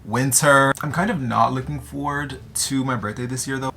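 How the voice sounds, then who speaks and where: Teenage male vlogger, American accent, joking and slangy casual delivery, crisp forward tone with some vocal fry. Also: medium pitch, fairly even intonation, moderate pace, pushed and bright, slight background noise. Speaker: vlogger answering audience questions. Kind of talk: casual chat to camera.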